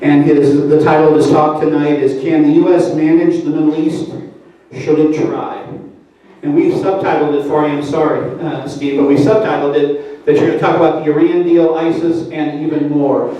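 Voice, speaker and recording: A man hosting a community event, reading announcements in a halting way with lots of fillers. His voice is mellow and level, and it comes from far off in a very echoey church hall. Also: on the high side, steady tone. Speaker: event host. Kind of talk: announcements and speaker introduction.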